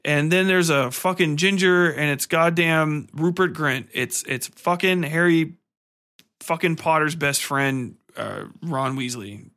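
Male podcast host, bright forceful voice, irritated and profane, casual review rant. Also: a little high, unhurried. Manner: blunt, irritated, swears constantly.

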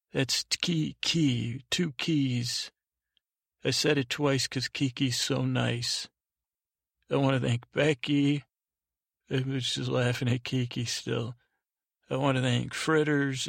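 A man hosting a bedtime podcast in a meandering, halting monologue, repeating words and drifting off on tangents. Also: mid-range voice, vocal fry, dry recording, studio quality. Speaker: podcast host. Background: music.

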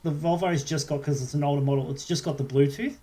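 A man's voice: a little high, steady tone, fast talker, warm tone. An Australian man in casual podcast conversation, explaining things chattily and often repeating his words as he goes. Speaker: podcast co-host. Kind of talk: casual chat.

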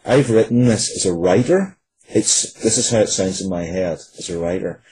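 A man's voice: deep voice, moderate pace, mellow, breathy edge. A man speaking casually and earnestly as an interview guest, often repeating phrases for emphasis.

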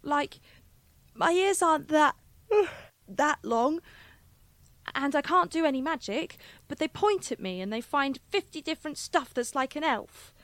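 A woman's voice: high-pitched, lively intonation, a little slow, a bit bright, no echo, voice pushed up. A woman playing a curious, troubled little girl in an audio drama, with a rambling, plaintive, childlike manner.

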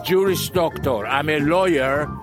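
An older man talks on a city street, proudly listing his credentials and circling back to repeat himself. His voice sits a bit high for a man. Loud street music plays right over him. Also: measured pace. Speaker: passerby. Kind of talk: street interview.

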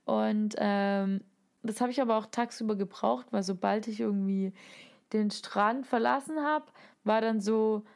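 A woman's voice: medium pitch, measured pace, gravelly, a little mellow. A woman telling anecdotes in a casual, enthusiastic, playful way, using slangy words as a podcast co-host.

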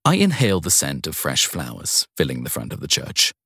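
A man reading a scripted first-person crime story in a measured storytelling manner, with dramatic pauses. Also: a bass voice, huge pitch swings, vocal fry, sharp tone, husky, no room sound, clean studio sound. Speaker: narrator of a short crime story.

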